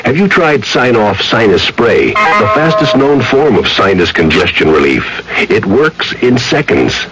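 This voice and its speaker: A man's voice: fairly low pitch, big pitch swings, a little slow, hardly any pauses, low-quality recording. An American man reading a vintage radio advertisement as its announcer. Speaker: commercial announcer. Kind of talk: 1970s radio advertisement read. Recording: vintage radio broadcast, loud music.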